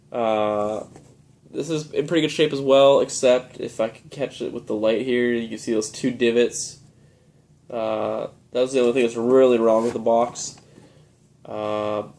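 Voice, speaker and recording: A young man with a head cold talks in a stuffed-up, nasal voice. He rambles in a relaxed, easygoing way, padding his sentences with "uh" and "um" as he shows things off. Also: mid-range voice. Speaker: video game collector vlogger. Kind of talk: casual show-and-tell vlog.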